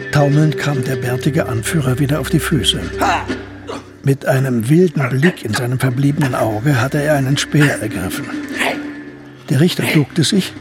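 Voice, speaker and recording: An actor as the narrator of a historical crime radio drama, reading scripted literary third-person prose. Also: male voice, a little high, lightly acted.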